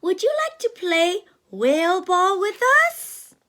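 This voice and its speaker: A woman's voice: very high-pitched, expressive, takes it slowly, fairly bright tone, slight background noise, heavily acted. A woman voicing a small, earnest young female pig in a children's picture book read-aloud, speaking in an eager, sing-song way.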